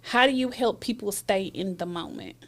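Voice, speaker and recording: A woman's voice: mid-range voice, expressive, moderate pace. A woman hosting a casual group conversation as a therapist, asking questions and explaining things in everyday words. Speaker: host, therapist.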